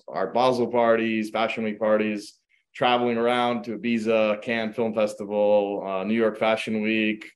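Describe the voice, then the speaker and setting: Man, low voice, relaxed storytelling, lots of fillers, interview guest. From Croatia, raised in the United States. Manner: relaxed storytelling, many fillers like um and you know. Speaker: guest, luxury real estate agent. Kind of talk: casual interview.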